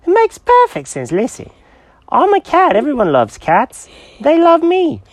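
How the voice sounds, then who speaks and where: A storyteller voicing an excitable, scatterbrained male pet cat who swoops through exclamations and questions. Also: high-pitched, a little slow, dry recording, studio quality, voice pushed up, heavily acted. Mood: indignant.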